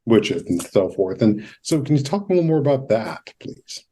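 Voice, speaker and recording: A man with a mellow, mid-pitched voice hosts a relaxed interview. He talks conversationally and thoughtfully, with phrases that wander as he builds his point. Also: moderate pace. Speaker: podcast host.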